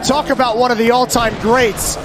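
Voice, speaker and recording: High-pitched excited male esports commentator. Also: moderate pace, noisy background. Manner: excited, emphatic. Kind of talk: live play-by-play commentary on a Counter-Strike match.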